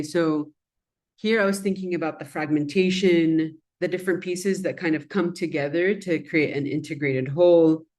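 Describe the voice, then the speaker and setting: A woman's voice: fairly low pitch, darkish tone. A woman whose first language is Arabic, giving a conversational presentation on her design research while walking through slides. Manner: reflective and conversational, with frequent "um" and "kind of".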